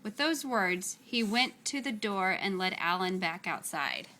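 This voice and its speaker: A woman reading a children's story aloud with expressive, deliberate, dramatic phrasing. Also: mid-range voice.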